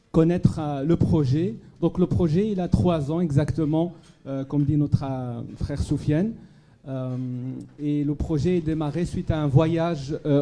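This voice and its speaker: A man's voice: a little high, mellow. Moroccan-born man speaking at a gala. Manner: hesitant, many fillers and restarts, audience questions. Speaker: charity speaker at a fundraising gala. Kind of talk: public speech to a live audience. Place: banquet hall at a gala dinner.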